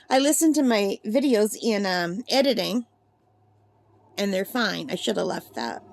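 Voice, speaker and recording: A woman with a slightly gravelly voice chatting and gushing as she swatches paints in a casual demo at her craft table. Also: on the high side. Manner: chatty and gushing, thinks aloud. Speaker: art supply reviewer.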